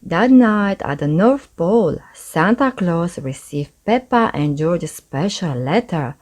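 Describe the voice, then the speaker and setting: A woman's voice: lowish voice, expressive, takes it slowly, a little mellow. A woman reading a children's story aloud as narrator, expressively and with careful pacing.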